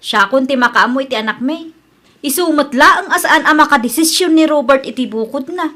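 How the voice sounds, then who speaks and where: A woman's voice: high voice, lively intonation, fairly quick, bright, forceful tone. A woman playing an aggrieved young mother fed up with her useless husband in a comedy radio drama, with an Ilocano accent. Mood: bitter, complaining. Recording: music behind the voice.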